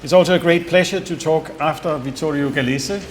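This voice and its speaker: A middle-aged man giving an academic conference talk in a measured, thoughtful way, pausing with fillers and correcting himself as he goes. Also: fairly high pitch. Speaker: conference speaker, researcher. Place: conference hall with audience. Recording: echoey hall, faint background noise.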